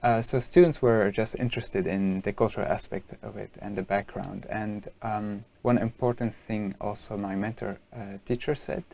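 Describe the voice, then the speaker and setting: A man's voice: on the low side, fairly even intonation, vocal fry, a little mellow. A young man with a Dutch accent, a student teacher reflecting earnestly on a video call. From Netherlands. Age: young adult. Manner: earnest, halting. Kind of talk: casual reflection.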